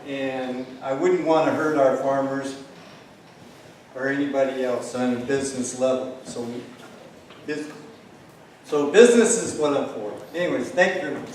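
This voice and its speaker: A man's medium-pitched voice comes through a heavily echoing hall, slightly distant from the microphone. He talks informally and plainly as a candidate, rambling loosely from point to point. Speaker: county council candidate. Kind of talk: informal campaign speech. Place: high school hall public forum. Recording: echoey hall, distant mic, faint noise.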